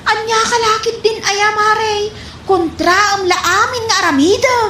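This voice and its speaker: A woman's voice: very high-pitched, fairly even intonation, unhurried, sharp tone, heavily acted. A woman with an Ilocano accent playing a boastful, trusting wife with a breezy, dismissive manner in a comedy radio drama. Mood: annoyed, defensive. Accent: Ilocano, from the Philippines. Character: boastful, trusting married woman showing off savings. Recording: echoey room, faint background noise.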